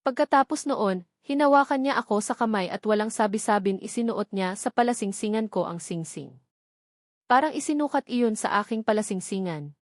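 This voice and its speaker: A woman's voice: medium pitch, lively intonation, moderate pace, dry recording, studio quality. A woman with a Filipino accent reading a scripted first-person romance novel as an audiobook narrator.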